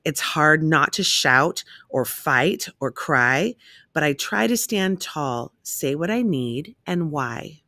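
A woman reading a rhyming children's picture book aloud in an expressive, measured storytelling manner. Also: sits low, dry recording.

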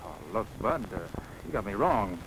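A man's voice: on the low side, animated, normal speed, vocal fry. An American actor playing a stubborn, loyal infantryman with a colloquial manner in a 1940s film. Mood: reluctant. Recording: noisy 1940s film soundtrack.

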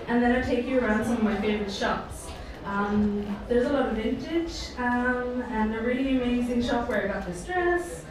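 A young woman from Ireland, answering questions on stage as a pageant contestant in a chatty, enthusiastic way with many "um"s. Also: fairly high pitch. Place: large convention hall. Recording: echoey hall, distant mic, crowd noise.

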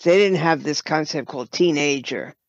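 An older woman, talking reflectively as an interview guest and pausing mid-phrase to choose her words. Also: deep voice, no echo, muffled recording. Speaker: podcast guest, writer and producer.

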